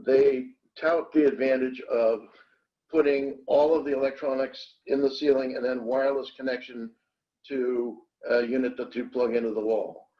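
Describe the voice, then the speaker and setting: A man giving an explanatory technical talk as a product expert, with a halting, hesitant delivery. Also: mid-range voice. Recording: dull, band-limited webinar audio.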